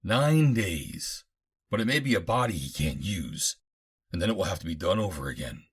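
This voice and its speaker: Audiobook role: male reader as proud Martian master scientist, grandiose and formal, low husky voice, lively inflection. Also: moderate pace, full character voice. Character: Martian master scientist, proud, calculating creator of artificial bodies.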